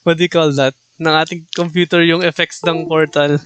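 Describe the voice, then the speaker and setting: A man with a Filipino accent doing casual, excitable game livestream commentary, switching between English and Tagalog. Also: a little high, brisk, slight background noise. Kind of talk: casual live game commentary, chatting to viewers. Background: video game music.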